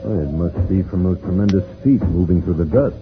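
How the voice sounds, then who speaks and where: A man's voice: low-pitched, a little fast, music in the background, lightly acted. A man playing a level-headed, protective space adventurer, cautious and commanding, in a 1950s radio serial. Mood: concerned. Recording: faintly noisy, narrow-band old radio recording.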